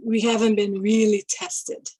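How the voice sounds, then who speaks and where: A middle-aged woman from Guyana speaking in a halting, thoughtful way, pausing and restarting as she reflects. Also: medium pitch, little pitch movement, mellow, breathy edge, dry recording. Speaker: interviewee. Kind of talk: casual reflective talk.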